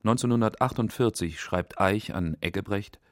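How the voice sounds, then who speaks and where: Very low male documentary narrator, dry sound. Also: moderate pace, dry recording.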